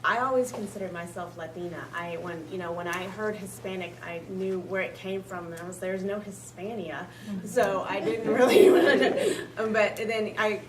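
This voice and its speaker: A woman's voice: mid-range voice, normal speed, hardly any pauses, crowd noise. A woman school principal speaking earnestly on a panel, with light laughs. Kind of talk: panel discussion. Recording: echoey room, far from the mic.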